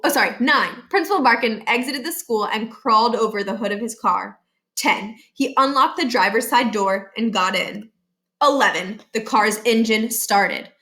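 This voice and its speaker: A woman reading a children's novel aloud as an expressive storybook narrator. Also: fairly high pitch. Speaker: storybook reader.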